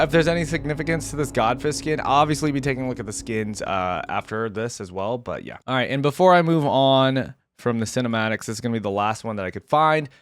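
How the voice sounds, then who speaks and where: Casting: male reaction-video host, average pitch, informal off-the-cuff commentary, with faint music behind. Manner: informal, thinking aloud.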